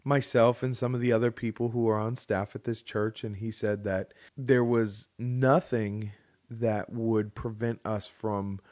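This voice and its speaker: A man's voice: on the low side, steady tone, measured pace, a little mellow. A man in his thirties, co-hosting a podcast, who tells a personal story in a rambling way with many restarts and joking asides. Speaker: podcast co-host. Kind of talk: casual storytelling chat.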